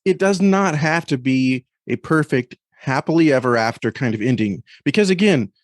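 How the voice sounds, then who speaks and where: A man speaking as a guest critic in a thoughtful podcast conversation, measured and often repeating words as he chooses them. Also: medium pitch, animated, dry recording, clean studio sound.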